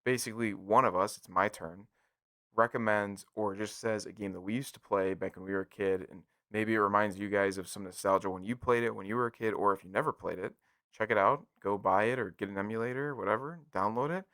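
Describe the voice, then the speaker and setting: A man's voice: fairly low pitch, fairly even intonation, a little fast, a bit bright, no room sound. An American man co-hosting a casual gaming podcast, chatting informally and jokily and talking straight to listeners. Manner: jokey, informal, addresses listeners directly. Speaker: podcast co-host.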